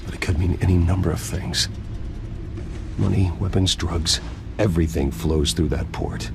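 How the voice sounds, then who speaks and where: An actor playing a brooding, stubborn vigilante hero in a video game, gravelly, terse and guarded. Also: male voice, normal speed, noisy background. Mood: pensive. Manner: terse, guarded, low-key. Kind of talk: video game dialogue acting.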